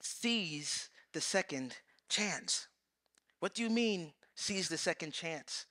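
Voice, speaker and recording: Pastor, man, 30s, lively stage sermon; high, bright tone, dry close mic. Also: slow pace, no room sound. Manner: animated, acts out quoted voices, swings loud and soft. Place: large church stage.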